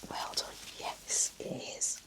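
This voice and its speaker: A woman whispering in a gentle, soothing, encouraging way as she role-plays an attentive nurse for ASMR. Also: slow. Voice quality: breathy whisper. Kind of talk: whispered ASMR medical exam roleplay.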